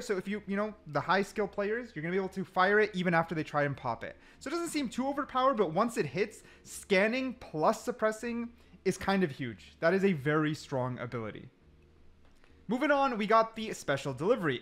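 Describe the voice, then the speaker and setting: Male gaming host, lively swooping delivery, slightly high voice, casual commentary that repeats for emphasis, light music underneath. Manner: animated, repeats phrases for emphasis.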